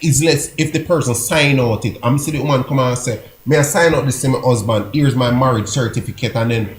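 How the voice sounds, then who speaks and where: A man telling an animated story, acting out the voices of the people in it. His voice sits in the middle range, and it rings around an echoey room with a faint hiss behind it. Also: medium pitch, fairly quick. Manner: animated storytelling, acts out quotes. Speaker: panel guest. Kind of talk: casual group debate.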